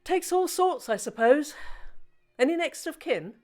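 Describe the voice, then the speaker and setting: An actress playing an unsentimental British forensic pathologist with a dry, clinical manner in a radio play. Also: female voice, sits high, expressive, measured pace, a little mellow, faint music behind. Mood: dismissive. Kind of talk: naturalistic radio play acting.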